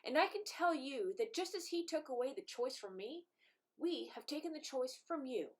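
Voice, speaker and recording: A dead soldier bent on revenge, read by a woman. The voice is fairly high and speaks with deliberate, bitter insistence. It is a live amateur drama reading. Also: expressive. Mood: bitter, resolute. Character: bitter, resolute ghost of a dead young soldier.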